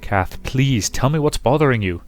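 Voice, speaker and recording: A man's voice: medium pitch, lively intonation, normal speed, faint music behind, pitched above the performer's own voice, close to an everyday voice. A man voicing a steadfast, devoted young fiancé in a visual novel, earnest and insistent. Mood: insistent, concerned. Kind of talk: visual novel character reading.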